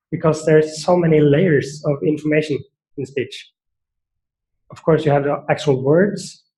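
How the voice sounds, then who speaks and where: A man's voice: on the high side, low-quality recording. A man with a Norwegian accent giving an academic seminar talk, thoughtful and hesitant, with many fillers and restarts. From Norway. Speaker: seminar speaker.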